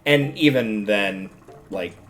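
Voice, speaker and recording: A man's voice: on the low side, slow, fairly bright tone, soft background music. A man giving casual, rambling livestream commentary on a video game, often stopping to explain things.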